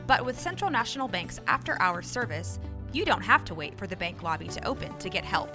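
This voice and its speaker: A woman's voice: medium pitch, big pitch swings, fairly quick. A woman reading an upbeat scripted bank advertisement as a voice-over. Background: music bed.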